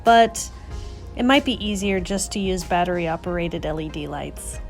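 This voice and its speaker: A woman's voice: fairly low pitch, moderate pace. Chatty woman narrating crafts over music. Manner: chatty, self-deprecating. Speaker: craft vlogger, host. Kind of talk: casual craft tutorial voice-over. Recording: music under the voice.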